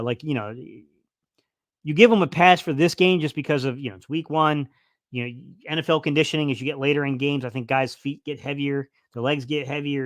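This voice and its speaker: A man's voice: a little high, a bit bright, dry recording. A man hosting a casual football live stream, reading the chat aloud and rambling with lots of fillers, his voice starting to wear out. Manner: rambling, many fillers and restarts. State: losing his voice a little. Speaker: sports livestream host.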